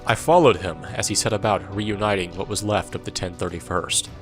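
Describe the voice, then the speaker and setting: A world-weary soldier played by a man narrating an audio drama in the first person. His voice is low, with a dry, irreverent, sardonic delivery. Music sits underneath. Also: steady tone, fairly quick, lightly acted. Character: wry, world-weary male soldier recounting his war.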